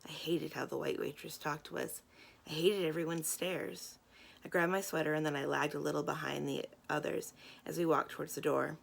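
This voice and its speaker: Low-voiced woman reading aloud, creaky edge. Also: steady tone, moderate pace. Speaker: library storyteller reading a children's novel aloud. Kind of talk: scripted read-aloud narration of a children's novel.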